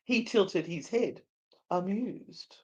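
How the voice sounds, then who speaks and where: A woman narrating a story as a dramatic live read, deliberate and theatrical. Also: medium pitch, husky, highs cut off. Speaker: narrator and co-host.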